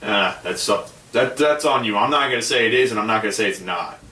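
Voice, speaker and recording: A man's voice: fairly low pitch, fast talker, sharp tone, reverberant, far from the mic. A man co-hosting a casual gaming podcast, easygoing and arguing his point with lots of fillers. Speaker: podcast co-host.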